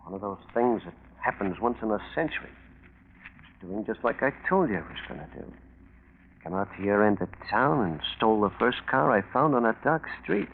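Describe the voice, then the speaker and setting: A tinny vintage radio recording carries a male small-time crook, slippery and brash. He bullies and wheedles in slang, his lowish voice swinging up and down. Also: expressive, creaky. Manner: slangy, bullying, fast-talking persuasion. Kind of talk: 1940s radio acting. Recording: tinny, faintly noisy 1940s radio recording.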